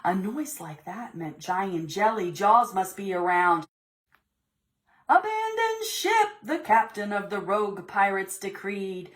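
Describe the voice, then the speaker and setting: A woman reading a storybook aloud to preschoolers in an expressive, theatrical, deliberate way. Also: on the low side. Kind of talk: expressive storybook read-aloud for young children.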